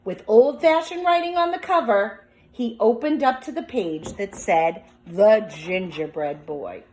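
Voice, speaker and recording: A woman's voice: medium pitch, animated, measured pace, darkish tone, a little hiss or hum, poor audio. A woman speaking as a teacher, reading a picture book aloud with commentary and prompting young listeners with questions as if to a class. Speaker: teacher demonstrating a children's read-aloud.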